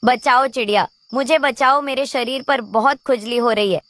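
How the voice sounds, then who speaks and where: A woman's voice: on the high side, normal speed, fairly bright tone, no echo, lightly acted. A woman voicing a hapless, complaining female crow in a children's cartoon, wailing in distress. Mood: panicked, wailing. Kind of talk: animated children's story acting.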